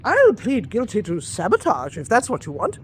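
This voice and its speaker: A woman's voice: mid-range voice, wildly swooping pitch, normal speed, slight background noise. An actress playing a bitter, unrepentant female saboteur held prisoner in a wartime radio drama, speaking with defiant scorn. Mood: bitter defiance.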